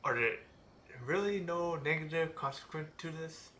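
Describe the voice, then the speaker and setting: A man giving casual live commentary on a game as he plays, halting and often repeating his words. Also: a little high, sharp tone, slight background noise. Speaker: game streamer. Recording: game music behind.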